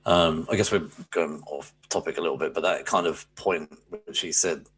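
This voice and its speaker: A man's voice: rumbling low, fairly even intonation, normal speed, a bit bright, slightly rough. A man from England with an English accent, co-hosting a podcast in casual chat, rambling and hesitant, full of fillers. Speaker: podcast co-host.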